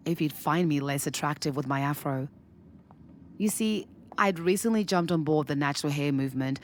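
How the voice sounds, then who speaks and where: Young single woman, low voice, wry n anxious about love, talks like she's confiding, podcast drama. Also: slight background noise. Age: young adult. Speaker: lead character and narrator. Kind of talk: naturalistic audio drama dialogue and first-person narration.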